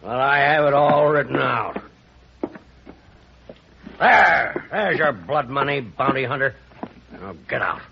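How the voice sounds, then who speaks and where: An actor playing a gruff, bad-tempered older rancher father with a gravelly, rural American voice, barking orders in 1950s radio western style. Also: mid-range voice, lively intonation, slow pace, long pauses, noisy background. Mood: angry. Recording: muffled old radio recording.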